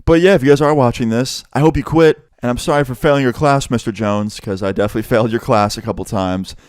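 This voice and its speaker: A man's voice: medium pitch, quick pace, a little mellow, smooth, dry recording. A young man casually telling a story about his school days, laid-back and swearing often.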